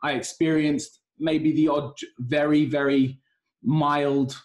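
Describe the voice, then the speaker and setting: A man co-hosting a podcast, speaking in a measured, thoughtful way with frequent hesitations. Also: on the high side, level tone. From United Kingdom. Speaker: podcast co-host. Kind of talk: podcast conversation.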